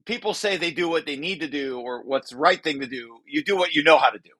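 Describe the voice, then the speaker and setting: A man presenting a technical paper aloud, reading and adding explanations in a halting way with self-corrections. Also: a little high, lively intonation, pushed and bright, no echo. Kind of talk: technical table read with explanatory asides.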